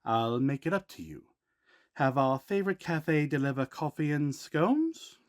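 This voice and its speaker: A man's voice: a little high, sing-song, unhurried. A man playing a playful but protective supernatural grandfather who co-hosts scripted school announcements. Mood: playful, apologetic.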